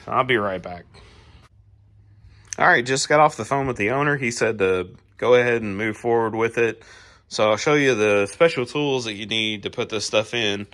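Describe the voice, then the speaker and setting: Guy in his garage, raspy from a cold, laid-back, lots of ums. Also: fairly low pitch, normal speed, a bit bright. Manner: laid-back, rambling with fillers. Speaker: garage channel host. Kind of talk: casual how-to vlog. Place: home garage, working on a car.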